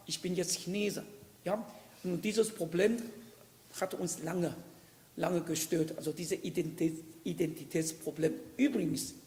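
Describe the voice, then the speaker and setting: A man, a diplomat speaking on a panel, who talks haltingly and often restarts and repeats his words. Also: high-pitched, a little mellow. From Taiwan. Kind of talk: panel discussion. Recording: echoey hall with long reverb.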